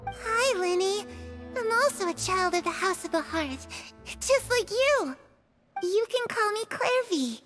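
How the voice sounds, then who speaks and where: Sweet mysterious little girl, high lilting voice, unhurried, game score underneath. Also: animated. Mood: friendly. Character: mysterious little orphan girl, gentle and friendly. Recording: game dialogue over background music.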